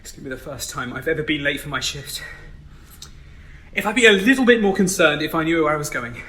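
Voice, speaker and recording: A man acts as a flustered young starship ensign in a sci-fi audio drama. His voice is bright and fairly high, and he stumbles apologetically over his words in a roomy space. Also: lively intonation, fast talker. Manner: stammering, flustered. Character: nervous young male starship security ensign, apologetic and scatterbrained.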